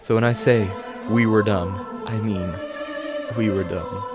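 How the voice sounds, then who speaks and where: An actor playing a remorseful teenage high school boy in an audio drama, earnest and halting in his delivery. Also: steady tone, loud music behind the voice, close to an everyday voice. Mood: ashamed. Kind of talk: scripted audio drama acting.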